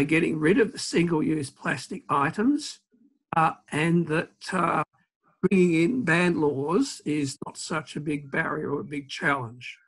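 A man, answering panel questions on a video call in a measured way with many pauses. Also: on the high side, husky, low-quality recording. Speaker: environmental campaigner, panelist. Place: home office, indoors. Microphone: video call webcam.

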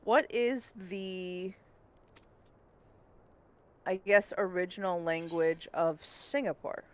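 A woman from Hawaii who works as a translator, chatting casually with a measured delivery and frequent pauses and fillers. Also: fairly low pitch. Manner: measured, thinks aloud with many fillers. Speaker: translator and co-host. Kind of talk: casual chat.

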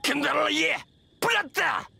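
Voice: sits high, fairly quick, noisy background. An actor voicing a grumpy masked goblin-like monster in a game, grunting gibberish in curt, growly barks. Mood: irritated. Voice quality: creaky, growly. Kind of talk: game creature voice.

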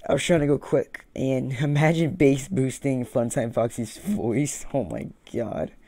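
A man's voice: mid-range voice, measured pace, warm tone, slight background noise. A young man giving casual gameplay commentary, muttering to himself and repeating phrases. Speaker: gaming video host. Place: indoor room, beside a plain wall. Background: game music.

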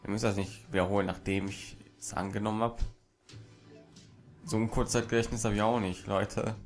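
A man's voice: fairly low pitch, flat delivery, brisk. A man with a German accent gives casual let's play commentary as the host. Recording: music behind the voice. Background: video game music.

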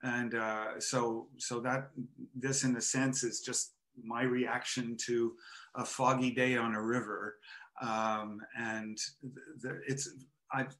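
An older man from Montreal, a photographer and artist, talking in a hesitant, reflective way with many pauses and restarts, on a headset over a video call from home. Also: medium pitch, little pitch movement, fairly bright tone. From Montreal, Canada. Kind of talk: casual interview conversation. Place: home room with framed photo, indoors.

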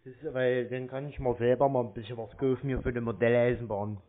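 A man's voice: mid-range voice, level tone, fast talker, close to an everyday voice. A man voicing a naive, chatty, henpecked husband with a childlike love of model trains, rambling guilelessly on a prank call. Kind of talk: prank call to phone scammers. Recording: muffled narrow-band phone call.

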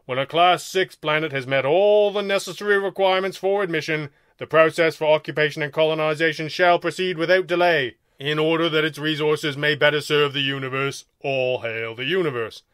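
A man's voice: on the high side, normal speed, sharp tone, dry recording, clean studio sound. A man voicing an officious male alien who scolds emphatically and sticks to regulations, in a story reading.

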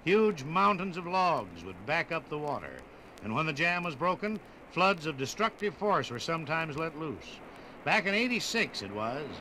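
A man's voice: on the high side, big pitch swings, moderate pace, pushed and bright, slight background noise, rough recording. An American man narrating a scripted 1950s radio documentary in a dramatic, folksy storytelling manner.

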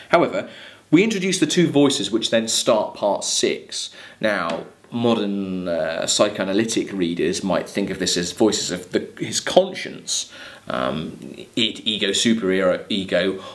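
A man in his thirties with a fairly low voice teaches literature. He reads verse aloud, then unpacks it haltingly, thinking as he goes and posing rhetorical questions. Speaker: college literature lecturer. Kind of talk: lecture with poetry read aloud and explained. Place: small plain room, seated at a desk.

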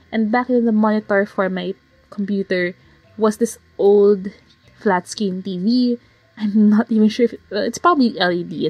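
A slightly hoarse woman casually narrating her digital painting process, rambling with fillers and self-corrections. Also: mid-range voice, a little mellow, no room sound, low-quality recording. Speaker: digital artist narrating a speedpaint. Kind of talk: casual voiceover commentary. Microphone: home voiceover mic.

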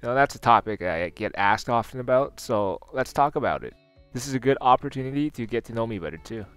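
A man's voice: mid-range voice, animated, brisk, a bit bright, clean tone, dry recording. A man in his twenties with a Canadian accent, giving career advice in a scripted explainer narration. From Toronto, Canada. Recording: music behind the voice.

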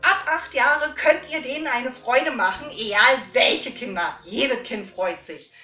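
A middle-aged woman, chatting informally to viewers in an animated way with frequent tag questions. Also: a little high, unhurried. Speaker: host of a book giveaway vlog. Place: small indoor room lined with books. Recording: roomy echo, faint music.

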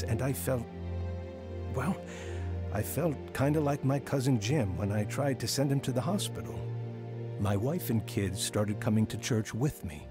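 A man's voice: mid-range voice, natural voice. A man playing an earnest, repentant middle-aged father narrating his life story in an American radio drama. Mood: reflective. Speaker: lead actor narrating his testimony. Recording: music bed under the voice.

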